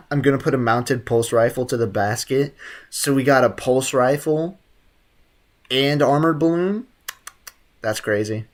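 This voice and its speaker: A man chats off the cuff while streaming a game. His voice sits at an ordinary pitch, and he talks in a slangy, irreverent, joking way as he reacts. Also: unhurried, pauses often. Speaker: game livestreamer. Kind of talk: casual chat over gameplay, reacting and answering viewers.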